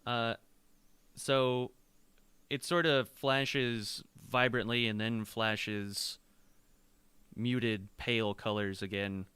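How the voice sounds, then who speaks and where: A man runs a tabletop game in a bright, very crisp voice, close and dry. He describes each gesture slowly and haltingly, step by step. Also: mid-range voice, steady tone, dry recording. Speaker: game master. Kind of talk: improvised tabletop game narration.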